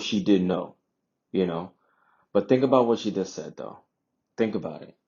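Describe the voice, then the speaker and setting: A man's voice: low-pitched, mellow. A man from Jamaica giving a casual opinion monologue, emphatic, repeating phrases and posing rhetorical questions in slightly muffled audio. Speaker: vlogger sharing personal views.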